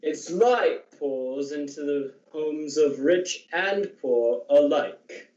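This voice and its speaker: A grand, jolly giant of a spirit played by a man speaks slowly and mellowly, as in a radio play. The voice echoes in a roomy, dull recording. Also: a little high, expressive, darkish tone. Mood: solemn, kindly. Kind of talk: amateur radio drama acting.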